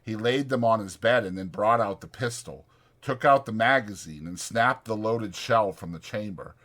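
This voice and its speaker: A man reads a novel aloud as an audiobook narrator. His voice is bright and crisp in attack, delivering scripted dramatic prose in a storytelling style. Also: fairly low pitch, moderate pace.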